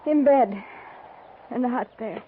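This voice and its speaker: Woman acting a proud tired frontier widow, bleak and guarded, highish voice, tinny old radio drama. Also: a little slow, natural voice. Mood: bitter, grieving. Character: proud, weary, bereaved frontier wife. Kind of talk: 1950s radio western acting. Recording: thin, noisy 1950s radio recording.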